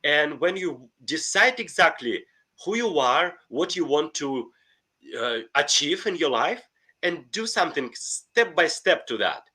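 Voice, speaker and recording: Earnest russian-accented man, bright voice. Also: fairly high pitch, big pitch swings, unhurried, slightly rough. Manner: earnest, enthusiastic, frequent restarts. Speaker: guest, life coach. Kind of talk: podcast interview.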